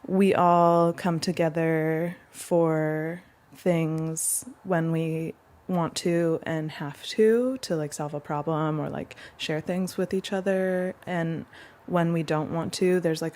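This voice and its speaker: A woman's voice: deep voice, steady tone, takes it slowly, darkish tone. A woman talking casually and reflectively in an interview. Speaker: interviewee, house resident.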